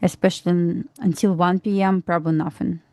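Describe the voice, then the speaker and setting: A woman with a Russian accent talks through price action in a measured, matter-of-fact way. Her voice is low-pitched and mellow, and the sound is dry and close with no room echo. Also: fairly even intonation. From Belarus. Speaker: trader co-host. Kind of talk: live market commentary.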